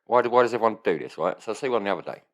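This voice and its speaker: A man's voice: lowish voice, expressive, very fast. A man, co-hosting a podcast in casual, rambling banter full of swearing and rhetorical questions. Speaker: podcast co-host.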